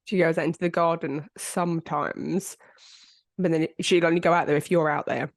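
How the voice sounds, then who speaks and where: A woman's voice: deep voice, steady tone, moderate pace, no echo, studio quality. A woman hosting a true-crime podcast, chatting casually with a congested, bunged-up voice and plenty of filler words. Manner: chatty, rambling, with frequent fillers. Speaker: podcast host.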